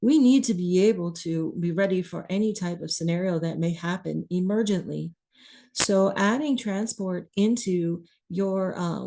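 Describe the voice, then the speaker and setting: A woman teaching clinicians about priming and running a circuit, slightly hoarse as she is losing her voice, in a measured, explanatory way. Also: lowish voice, dark tone. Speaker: ECMO educator. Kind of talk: instructional talk.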